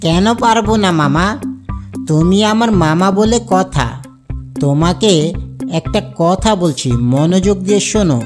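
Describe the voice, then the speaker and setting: A man voicing a sly young fox in a cartoon, wheedling and flattering his uncle. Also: high-pitched, measured pace, over music. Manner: wheedling, persuasive. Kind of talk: cartoon character dialogue.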